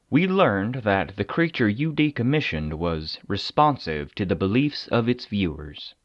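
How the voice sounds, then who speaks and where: Man playing a low-voiced, expressive secret agency agent. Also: dry recording, muffled recording, natural voice. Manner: conversational, deliberate, with dramatic pauses. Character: weary, persuasive male agent of a secret containment agency. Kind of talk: dramatic monologue in an audio drama.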